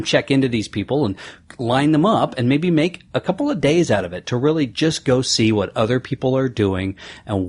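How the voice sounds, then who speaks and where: A man with a husky, slightly breathy voice at an ordinary middle pitch, hosting his own podcast. He talks earnestly and conversationally, building up to upbeat motivational points. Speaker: podcast host. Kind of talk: solo podcast recap.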